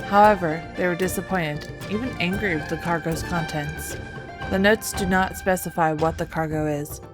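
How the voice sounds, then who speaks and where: A woman's voice: fairly low pitch, steady tone, moderate pace. A woman reading scripted narration of a video game story as a storyteller. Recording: voice-over with music bed. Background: music.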